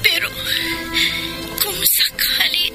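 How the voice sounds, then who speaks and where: A sorrowful young Filipina in a radio drama. Her high, soft voice is hesitant and full of longing, under loud music. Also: fairly even intonation, slow, gravelly, heavily acted, rough recording. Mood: tearful, yearning. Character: tearful young woman missing her former boyfriend.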